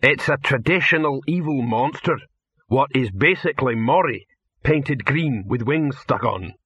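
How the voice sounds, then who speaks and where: A man voicing a slow-witted, good-natured troll with ungrammatical working-class speech in an audiobook. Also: mid-range voice, lively intonation, a bit bright, breathy edge, no echo. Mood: friendly, matter-of-fact. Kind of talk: audiobook character voice.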